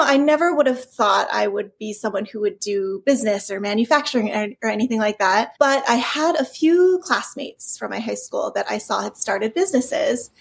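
A woman's voice: on the high side, vocal fry, breathy edge. A woman from California who grew up in Hawaii, talking casually and at length as an interview guest, with many fillers and restarts. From California, raised in Hawaii. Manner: chatty, rambling, frequent restarts and fillers. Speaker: toy company founder, guest.

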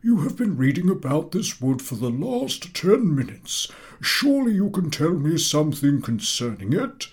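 A man's voice: on the high side, very animated, a little mellow, slightly rough, voice pushed up, heavily acted. A man putting on a pompous old professor. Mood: coaxing. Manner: drawn-out, coaxing schoolmaster questioning. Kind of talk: audiobook character voice.

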